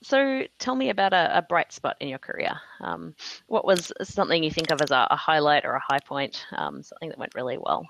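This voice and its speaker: A woman's voice: low voice, very animated, brisk, creaky, fairly bright tone, low-quality recording. A woman acting as moderator, putting questions to speakers in a conference panel.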